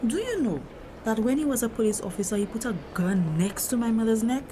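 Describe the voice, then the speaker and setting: Woman playing a troubled daughter, higher voice, noisy recording. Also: a little fast, natural voice. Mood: distressed. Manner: earnest, confiding, emotional. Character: troubled, hurt grown daughter who feels trapped by her father. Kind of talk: dramatic acted dialogue. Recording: noisy location sound with steady ambience.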